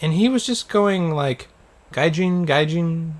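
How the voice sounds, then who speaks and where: A man's voice: on the high side, lively intonation, takes it slowly. Casual male podcast co-host telling stories. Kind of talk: casual chat, anecdotes.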